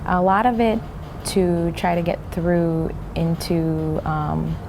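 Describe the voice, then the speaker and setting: A woman's voice: on the low side, takes it slowly. A young adult woman, a registered nurse, answering interview questions in an earnest, methodical way with some ums and restarts. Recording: noisy recording.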